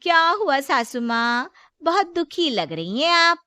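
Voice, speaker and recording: Lady doing a plotting young bahu, indignant and over the top, really high voice, dry sound. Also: sing-song, unhurried, bright, forceful tone, dry recording, exaggerated voice. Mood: mocking. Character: scheming, resentful young daughter-in-law plotting theft. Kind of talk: Hindi moral story character acting.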